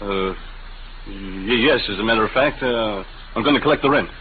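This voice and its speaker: A man's voice: lowish voice, moderate pace, close to an everyday voice. An actor playing an uneasy, hesitant ordinary man in a 1950s radio drama. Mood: nervous, evasive. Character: anxious, hesitant ordinary man drawn into conspiracy. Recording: narrow-band, hissy old radio recording.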